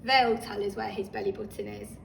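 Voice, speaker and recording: A woman's voice: medium pitch, big pitch swings, a little fast, vocal fry, fairly bright tone, voice pushed down. A woman voicing an eager, caring little girl in a storybook, insistent and reassuring. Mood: excited. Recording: roomy, distant microphone, faint noise.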